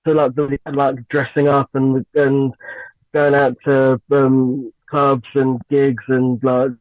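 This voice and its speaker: A woman's voice: extremely low pitch, little pitch movement, takes it slowly, no room sound. Giggly young woman on a phone line. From Nigeria and England. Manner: giggly, hesitant with many fillers. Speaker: guest singer. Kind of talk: radio interview, casual chat. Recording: tinny phone-line sound, dry.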